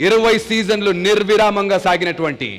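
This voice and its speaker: A man hosting a dance reality show, announcing in a theatrical, drawn-out way. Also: high-pitched. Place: large stage studio. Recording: long hall reverb, music behind.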